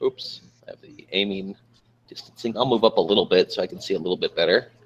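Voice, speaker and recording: A man's voice: low-pitched, quick pace, breathy edge, dull audio. A man playing a tabletop game, chatting casually as one of the players.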